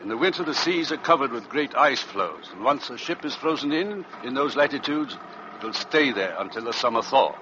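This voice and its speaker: An actor playing a stern, grave expedition captain in old-time radio drama, with a commanding, formal, solemn manner. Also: male voice, mid-range voice, animated, brisk, lightly acted. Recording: muffled, noisy old radio drama recording.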